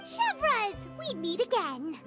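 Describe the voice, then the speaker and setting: A little floating fairy sidekick from a video game. The voice is squeaky and childlike, very animated in pitch and slow-paced. It sounds cute, eager and curious over background music. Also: female voice, full character voice. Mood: cheerful. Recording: music playing behind.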